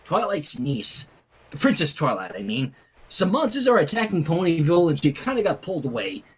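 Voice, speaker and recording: Narrator doing anxious teen girl, flustered, apologetic, stumbling, husky, swinging pitch, fan fiction. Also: big pitch swings. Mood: weary. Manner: hurried, apologetic, stumbling explanations. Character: flustered, anxious teenage girl minding a baby.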